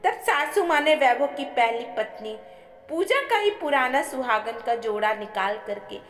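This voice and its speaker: A woman's voice: sits high, lively intonation, unhurried, faint music behind. A woman narrating a scripted, emotional family story as a storyteller. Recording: echoey with long reverb.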